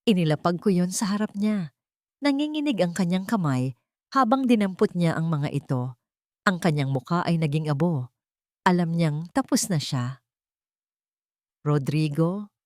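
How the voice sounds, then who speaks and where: Low-voiced woman narrating a drama story. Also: expressive, normal speed, no echo, clean studio sound. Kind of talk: dramatic scripted first-person story narration.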